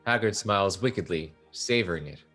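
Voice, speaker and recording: A man's voice: low voice, fairly quick, a bit bright, faint music behind. A man narrating the stage directions of a play from a script.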